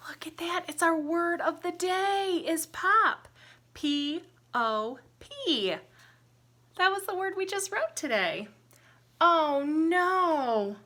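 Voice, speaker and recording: Lady teacher doing storytime for little kids, bright voice kinda high, sing-songy and asks lots of questions. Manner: sing-song, expressive, simple phrasing with questions to young listeners. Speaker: teacher reading a picture book aloud to young children. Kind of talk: interactive children's storybook read-aloud with counting and singing.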